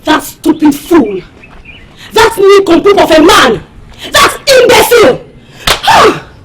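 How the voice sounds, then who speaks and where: A woman's voice: sits high, sing-song, moderate pace, heavy background noise. An actress playing an outraged, proud young village woman with a Nigerian accent, scolding in a film drama. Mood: exasperated. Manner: indignant, scolding, loud emphatic outbursts.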